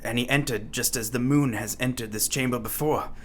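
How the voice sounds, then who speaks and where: Tormented madman played by a man, medium pitch, bright tense tone, halting, dramatic, faint background noise. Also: fairly even intonation, natural voice. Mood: haunted. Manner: haunted, dramatic, halting monologue. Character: tormented madman confessing to his doctors. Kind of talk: radio play acting.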